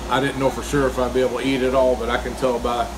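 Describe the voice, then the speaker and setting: A man's voice: mid-range voice, level tone, fast, background noise. A man, chatting casually as he reviews diner food while eating. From Kentucky, US. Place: small diner, indoors. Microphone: phone camera across the table.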